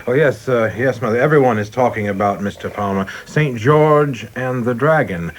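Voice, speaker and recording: A man's voice: medium pitch, normal speed, a little hiss or hum, lightly acted. An actor playing an ambitious, rigid young male government official in a radio play, speaking formally, precisely and earnestly. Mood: dutiful, intense.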